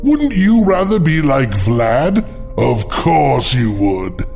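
A man's voice: mid-range voice, big pitch swings, takes it slowly, gravelly, a little mellow, soft background music, full character voice. A man playing a theatrical, teasing, mock-sinister horror host, delivering scripted podcast narration with relish for the macabre. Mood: gleefully sinister.

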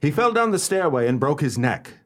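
A man playing a confident, courteous gentleman detective, speaking formally and decisively in a radio play. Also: on the high side, sing-song, brisk. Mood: calm, matter-of-fact.

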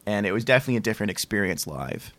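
Low-voiced man, close dry sound. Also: very animated, rapid-fire, a bit bright, dry recording. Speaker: podcast host. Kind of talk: casual chat.

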